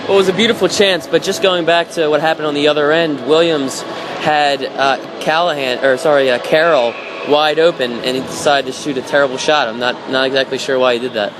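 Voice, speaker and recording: A man giving halting, opinionated analysis as the colour commentator on a live hockey broadcast from a noisy arena. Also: fairly high pitch, fairly even intonation. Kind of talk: conversational live sports analysis. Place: indoor ice hockey arena. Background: clear arena background noise.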